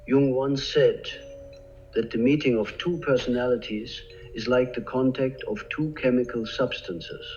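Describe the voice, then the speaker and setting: A man narrates in a slow, grave, deliberate cadence, letting long pauses hang between phrases. His voice sits in a middle register and sounds a little dull and lo-fi. Soft music runs quietly underneath him. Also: mid-range voice, fairly even intonation. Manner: slow, solemn, measured pauses. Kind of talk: scripted narration. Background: faint background music.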